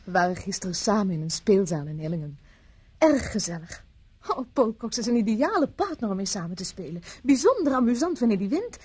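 A woman's voice: mid-range voice, very animated, a little fast, darkish tone, husky. An actress from the Netherlands playing a charming, teasing young society woman in a radio drama. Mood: playful. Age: young adult. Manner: teasing, polite, airy.

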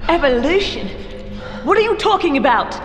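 A woman's voice: very high voice, big pitch swings, moderate pace, over music. An actress playing a determined, direct female agent who questions sharply. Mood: incredulous.

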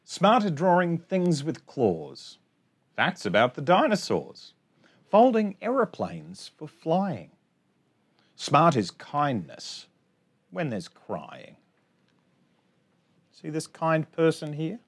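Male children's storytime reader, higher-pitched, slightly husky, unhurried sing-song rhymes with chatty asides. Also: huge pitch swings. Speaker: storytime host. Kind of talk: reading a picture book aloud to children. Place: small indoor space among bookshelves.

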